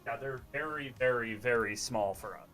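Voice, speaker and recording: A man with a stuffy, congested tone that leans nasal, rambling casually as he plays. His talk is loose and self-deprecating, with a soft bed of music behind him. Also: mid-range voice, normal speed. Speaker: streamer. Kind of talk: casual live-stream chat while gaming.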